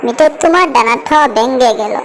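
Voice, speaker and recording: A woman's voice: fairly high pitch, moderate pace, a little hiss or hum, voice pushed up, lightly acted. A woman voicing a distressed, lamenting mother bird in a cartoon moral tale. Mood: distraught, grieving.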